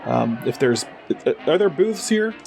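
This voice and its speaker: A man's voice: on the high side, very animated, a little slow, vocal fry, a little mellow, faint music behind. A man chatting casually as a player in a tabletop role-playing game, talking through his character's moves.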